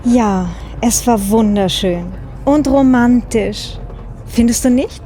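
An actress playing a romantic, affectionate wife on holiday, tender and gently teasing, in an audio drama. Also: female voice, on the high side, slow pace, a little hiss or hum. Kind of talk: German audio drama dialogue.

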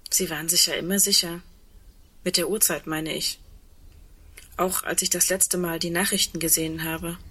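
Wistful, husky musician in a radio play. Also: female voice, fairly low pitch, steady tone, sharp tone. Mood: quietly curious. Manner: reflective, halting, intimate. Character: wistful woman double bass player facing the world's end. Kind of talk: naturalistic radio play dialogue.

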